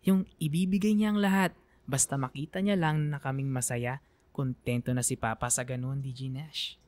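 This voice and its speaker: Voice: medium pitch, steady tone, moderate pace, darkish tone, close to an everyday voice. A performer with a Filipino accent playing a grateful grown daughter, earnestly reminiscing about a father in radio drama narration. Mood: fond, nostalgic. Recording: dry studio sound.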